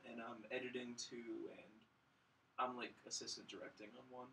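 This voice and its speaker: A man's voice: medium pitch, little pitch movement, moderate pace, vocal fry, pushed and bright, recorded from a distance, a little hiss or hum, low-quality recording. A young American man, a film student guest chatting casually in a joking, self-deprecating way. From United States, St. Louis area. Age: young adult. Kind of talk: casual chat.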